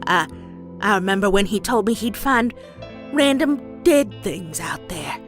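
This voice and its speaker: Woman playing old Southern farm grandmother, bitter, folksy, unhurried, music underneath, comic dub drama. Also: medium pitch, animated, lightly acted. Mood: grave, confessional. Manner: folksy, slow, deliberate storytelling. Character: stern, bitter old farm grandmother pony with dark secret.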